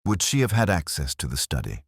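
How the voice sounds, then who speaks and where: Man voicing a deep, soft-spoken, shrewd police inspector. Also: huge pitch swings, gravelly, husky, no echo, studio quality, full character voice. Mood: probing. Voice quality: soft, murmuring. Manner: measured, probing, knowing.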